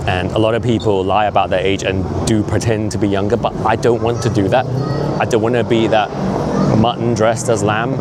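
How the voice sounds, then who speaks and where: A man in his fifties vlogging as he walks outdoors on a city street, talking in an earnest, opinionated, conversational way with frequent asides. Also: lowish voice. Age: 50s. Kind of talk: casual walking vlog monologue. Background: traffic and urban street noise.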